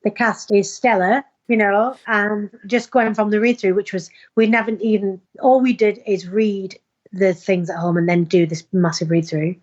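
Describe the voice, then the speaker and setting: A woman's voice: medium pitch, fairly even intonation, moderate pace, a little mellow, dull audio. A woman actor being interviewed informally, speaking in an earnest, emphatic and effusive way to promote a play.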